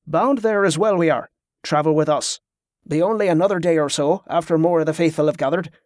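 An imposing, presumptuous warrior priest acted out by a man in a fantasy audiobook. He has a thick invented accent and a somewhat high pitch. His clipped, inverted phrases turn requests into commands, in a very dry recording. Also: brisk, a little mellow, dry recording, natural voice. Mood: pressing, presumptuous. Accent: thick fictional foreign accent.

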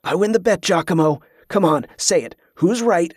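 A man's voice: high voice, expressive, moderate pace, a little mellow, husky, dry recording, studio quality, pitched above the performer's own voice. Man voicing a confident, cunning adventurer. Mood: triumphant. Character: young male adventurer, confident, cunning, calming.

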